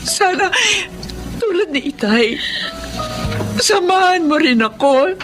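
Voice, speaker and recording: Remorseful, high-voiced Filipino mother. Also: lively intonation, slow, over music, heavily acted, poor audio. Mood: earnest remorse. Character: remorseful, pleading mother begging her daughter's forgiveness. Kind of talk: Filipino radio drama acting.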